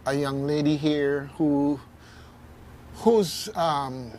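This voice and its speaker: A Caribbean man hosts an informal radio discussion. He speaks slowly and haltingly in a slightly high voice, with faint background noise. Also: steady tone, darkish tone. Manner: halting, reflective. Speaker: radio host.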